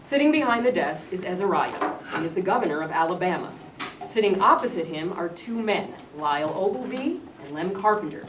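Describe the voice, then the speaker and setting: A woman reads out scripted stage directions. Her voice is somewhat low. It carries room echo and faint background noise. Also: animated, a little fast, far from the mic. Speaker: narrator reading stage directions. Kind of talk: scripted narration.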